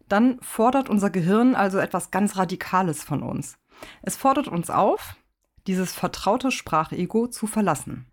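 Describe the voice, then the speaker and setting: A woman hosting a pronunciation podcast, explaining calmly and speaking straight to the listener. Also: medium pitch. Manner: addresses the listener directly, calm and explanatory.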